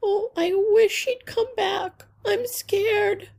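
A woman's voice: extremely high pitch, fairly even intonation, takes it slowly, vocal fry, a little mellow, voice pushed up, heavily acted. A woman voicing a frightened, tearful young mouse girl in a children's storybook, fretful and exclaiming. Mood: anxious. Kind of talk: children's storybook read-aloud.

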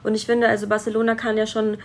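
A woman's voice: a little high, completely flat delivery, fast. Young female podcast host, chatty and friendly, recorded at a guest's home. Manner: friendly, many fillers, affirming hums. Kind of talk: casual interview chat. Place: guest's home in a city flat.

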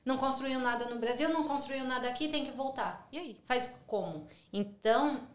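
Podcast guest: São Paulo woman, accent, casual and talkative, tag questions, lightly higher pitch, echoey room sound. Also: normal speed. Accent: Brazilian Portuguese, São Paulo. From São Paulo, Brazil. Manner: chatty, frequent tag questions. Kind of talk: casual conversational interview. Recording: roomy echo.

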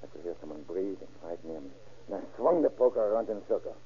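Jittery, guilt-ridden suspect on tinny old radio. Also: male voice, sits low, expressive, breathy edge. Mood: haunted confession. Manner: halting, stammering, pleading. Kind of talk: 1930s radio crime drama acting. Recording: muffled, tinny old-time radio recording.

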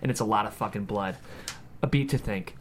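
A man's voice: fairly low pitch, fairly quick, slight background noise. A man reading scripted screenplay stage directions as the narrator of a table read.

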